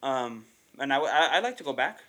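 Fast, bright-voiced young man. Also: mid-range voice, expressive. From Southern California. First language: Spanish. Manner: easygoing, with lots of "like," "right," and repeated starts. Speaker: podcast guest. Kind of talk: casual chat.

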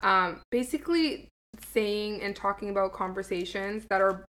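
A woman in her twenties from Canada, co-hosting a podcast in casual chat, blunt and quick to swear. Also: medium pitch, a bit bright, rough recording. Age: 20s. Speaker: podcast co-host.

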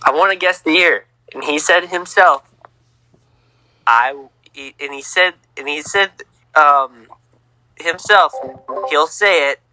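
A young man co-hosting a casual sports podcast, teasing and lively, on a home mic. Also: a little high, takes it slowly, long pauses, faint music behind, poor audio. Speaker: podcast co-host.

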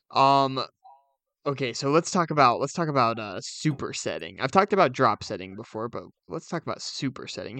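A man's voice: mid-range voice, a bit bright, no echo. A man co-hosting a casual podcast, explaining things with enthusiasm. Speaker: podcast co-host.

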